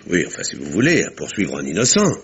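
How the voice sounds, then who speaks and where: An actor playing a courteous, formal village doctor who narrates and talks in a radio mystery with literary theatrical delivery. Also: male voice, sits low, wildly swooping pitch, fast, breathy edge, heavily acted. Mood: defensive.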